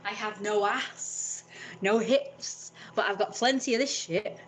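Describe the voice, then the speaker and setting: A woman's voice: medium pitch, slow, a little hiss or hum, rough recording. A young woman, talking in a frank, rambling vlog monologue and swearing freely.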